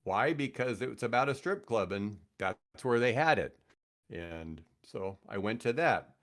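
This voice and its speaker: Older low-voiced man swapping stories. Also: lively intonation, a little slow, fairly bright tone. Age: 60s. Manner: jokey, self-mocking, acts out quoted dialogue. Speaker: podcast guest, retired radio host. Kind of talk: casual anecdotal storytelling.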